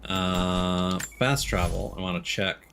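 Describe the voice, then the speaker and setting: A man giving casual live commentary as he plays a game, thinking out loud and swearing. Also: deep voice, fairly even intonation, slow, vocal fry, over music. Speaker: game streamer.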